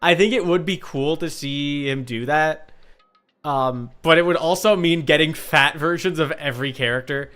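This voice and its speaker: A man's voice: a little high, normal speed, a bit bright. A man streaming a game and chatting with viewers in a rambling, informal, sweary way. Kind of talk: casual chat with viewers. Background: music.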